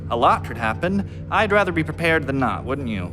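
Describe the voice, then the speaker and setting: Pragmatic female space officer; extremely low voice, dry delivery, music underneath. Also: very animated, fairly quick. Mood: brisk, guarded. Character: pragmatic, wry woman space officer, war veteran. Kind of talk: radio play acting.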